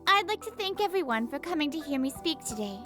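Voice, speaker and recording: Girl doing smart young scientist lady from an anime game dub, high lively voice, polite n articulate, music playing behind. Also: fast talker, exaggerated voice. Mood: polite, slightly nervous. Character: poised young female genius scientist giving a lecture. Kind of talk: visual novel fan dub acting.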